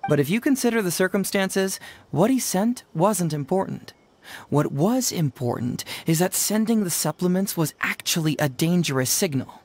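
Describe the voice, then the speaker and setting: A man's voice: sits high, animated, normal speed, a little mellow, no room sound. A man voicing a brainy, confident young detective in a video game, explaining and teasing in a self-assured, playful way. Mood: explaining, sly.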